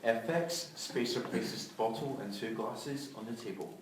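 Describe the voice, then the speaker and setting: A man's voice: mid-range voice, a little slow, recorded from a distance. A man reading out stage directions and sound cues from a script. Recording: echoey room, off mic, clear noise.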